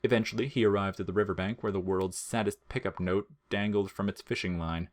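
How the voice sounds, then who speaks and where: A man with a low-pitched voice narrates a piece of fiction. He reads from a script in the manner of an audiobook storyteller. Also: steady tone, brisk.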